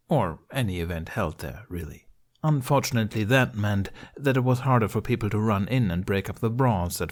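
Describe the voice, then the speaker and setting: A man reading a scripted story aloud as its narrator. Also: lowish voice. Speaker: amateur story reader.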